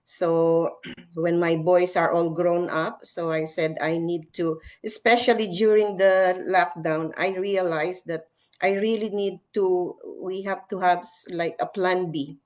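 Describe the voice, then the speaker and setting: A middle-aged woman with a Filipino accent speaks as a panelist, sharing her story off the cuff. Her voice sits a little low, and she talks slowly and haltingly, pausing often. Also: steady tone, a little mellow. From Philippines. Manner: halting, hesitant. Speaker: talk show panelist, online business owner. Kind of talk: unscripted personal testimonial.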